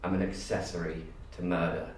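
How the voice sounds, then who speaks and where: Man acting weary guilty man, low voice, slow grave delivery, audio drama monologue, distant echoey recording. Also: flat delivery, lightly acted. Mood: grave, resigned. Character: weary, guilt-ridden man confessing to his crimes. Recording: echoey room, distant mic, faint noise.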